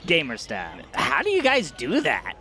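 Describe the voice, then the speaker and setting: An actor voicing a cocky, streetwise gamer adventurer in an animated comedy, casual and confident. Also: mid-range voice, normal speed, over a noisy crowd.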